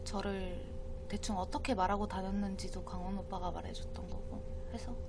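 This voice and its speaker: A young woman with a voice hoarse from a cold, talking softly and hesitantly to viewers on a live stream. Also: mid-range voice, fairly even intonation, fairly quick, background noise. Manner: hesitant, soft-spoken, trailing off.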